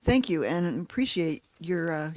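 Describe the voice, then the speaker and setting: A woman chairing a committee hearing, who questions witnesses and calls up speakers in a brisk, polite way. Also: on the low side, animated, no room sound. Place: legislative committee hearing room.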